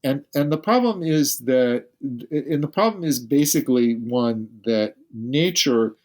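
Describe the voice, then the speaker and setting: Man, podcast guest, physicist, thinks aloud, careful explainer, average pitch. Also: animated, unhurried, darkish tone. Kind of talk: podcast interview.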